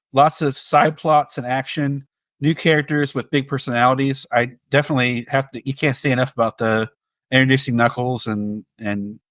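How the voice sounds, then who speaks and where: A man co-hosting a casual film discussion, speaking in a measured, appreciative and somewhat halting way. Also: medium pitch, fairly bright tone, no echo. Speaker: podcast co-host.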